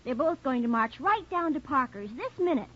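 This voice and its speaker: High, perky young sweetheart. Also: female voice, animated, normal speed, bright, forceful tone. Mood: teasing. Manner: perky, teasing. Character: perky, teasing young college-era girlfriend. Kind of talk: 1940s radio drama acting. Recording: dull old radio recording.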